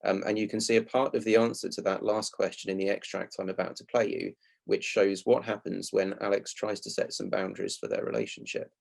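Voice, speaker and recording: A man presenting a prepared public talk in a measured, articulate, explanatory way. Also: fairly low pitch, steady tone, creaky. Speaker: playwright and actor giving a talk.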